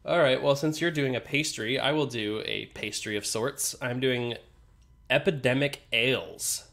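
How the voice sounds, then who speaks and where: Brief: young male co-host, stuffy nose, relaxed and joking, swears casually. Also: medium pitch, unhurried, gravelly. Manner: laid-back, swears, laughs often. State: congested from a sinus infection. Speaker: podcast co-host. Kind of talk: casual chat over beers.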